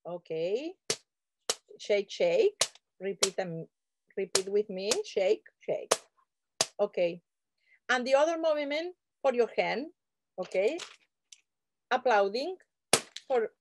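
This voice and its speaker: A woman's voice: medium pitch, very noisy. A woman leading an activity, giving short, simple, repeated commands. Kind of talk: instructing an audience.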